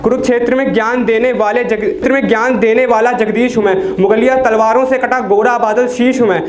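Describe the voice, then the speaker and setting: A teenage man from India reciting poems at an open mic, declaiming each line and dropping low on a repeated refrain. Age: teens. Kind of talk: reciting Hindi poetry. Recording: echoey room with faint noise.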